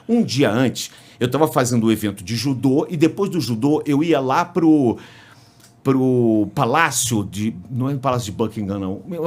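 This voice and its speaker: A Brazilian sports commentator with a Brazilian Portuguese accent, a podcast guest telling lively stories with restarts and jokes. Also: male voice, medium pitch. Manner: animated storyteller, frequent restarts, jokes. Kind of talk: casual interview storytelling.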